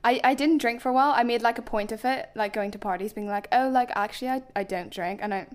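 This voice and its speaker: A woman's voice: a little high, quick pace. Giggly young Northern Irish woman. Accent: soft Northern Irish. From Northern Ireland. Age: young adult. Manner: giggly, lots of fillers. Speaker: podcast guest. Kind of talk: casual chat.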